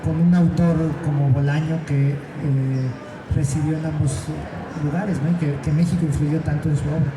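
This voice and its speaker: A man moderating a literary panel, speaking in a measured way and searching for his words. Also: on the high side, steady tone. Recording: echoey venue with background noise.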